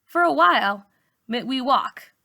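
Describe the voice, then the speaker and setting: Bright, high-voiced woman reading a story aloud. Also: lively intonation, a little slow, vocal fry. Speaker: teacher reading a novel aloud. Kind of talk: expressive storybook narration for middle schoolers.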